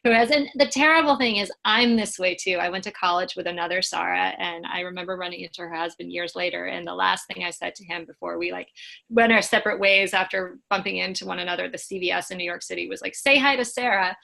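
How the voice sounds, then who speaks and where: A woman's voice: fairly low pitch, quick pace, vocal fry, sharp tone. A woman in her forties from Washington State, whose first language is Swedish, chatting casually on a video call and often repeating words as she restarts her sentences. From Washington State, USA. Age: 40s. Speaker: community head and call host.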